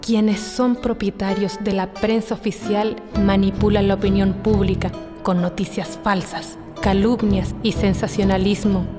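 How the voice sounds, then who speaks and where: Casting: female narrator, low-pitched for a woman, measured and declarative, dramatic political storytelling over prominent music. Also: little pitch movement, in a large hall. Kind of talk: scripted dramatic political narration for a game intro. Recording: narration under loud music. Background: loud music.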